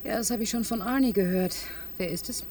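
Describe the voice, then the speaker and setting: An actress playing a brisk, blunt female scientist in a German radio play, with a dry, matter-of-fact manner. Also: medium pitch, a little mellow, slight background noise, close to an everyday voice. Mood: businesslike. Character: brisk, blunt female scientist and team leader, worried mother.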